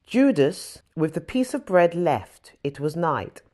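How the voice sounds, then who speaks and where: A woman's voice: on the low side, big pitch swings, takes it slowly, warm tone. A woman reading a Bible story as audiobook narration, expressively, with emphasis on the dialogue. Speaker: scripture reader.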